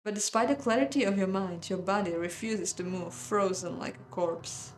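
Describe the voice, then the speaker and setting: A woman hosting a game playthrough, reading a visual novel aloud in a measured dramatic way with casual, hesitant asides. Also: mid-range voice, slight background noise. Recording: roomy echo, music behind.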